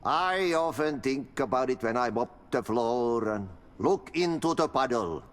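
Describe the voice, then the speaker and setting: An actor playing an older Finnish janitor in a video game, speaking in folksy, cryptic riddles with a Finnish accent. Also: male voice, mid-range voice, lively intonation, unhurried, close to an everyday voice. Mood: musing, cryptic. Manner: folksy riddles, sing-song drawl. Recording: long cavernous reverb.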